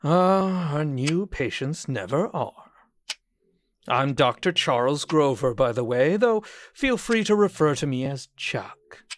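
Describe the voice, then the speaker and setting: A man's voice: on the high side, lively intonation, slow, dry recording, studio quality. A man playing a condescending, falsely cheerful psychiatrist in a horror audio drama, with a smug, mock-polite manner. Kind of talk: theatrical audio drama acting.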